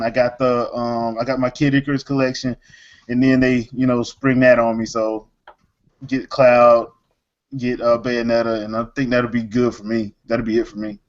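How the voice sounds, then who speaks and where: A man's voice: medium pitch, highs cut off. A man hosting a casual gaming chat in a slangy, easygoing, joking way. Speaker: podcast host.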